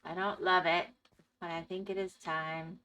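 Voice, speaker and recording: A woman's voice: lowish voice, level tone, measured pace, pushed and bright, lo-fi sound. A woman streaming a game and chatting casually with her viewers while losing her voice. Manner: chatty, reads and answers chat. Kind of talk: casual live-stream chat.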